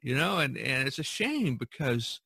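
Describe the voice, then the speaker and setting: An older man with a bright, forceful voice talks casually as a podcast host. He moves haltingly, repeating words while he gathers his thought. The sound is very dry, with little room around him. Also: mid-range voice, very animated, creaky, husky, dry recording. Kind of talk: casual conversational discussion.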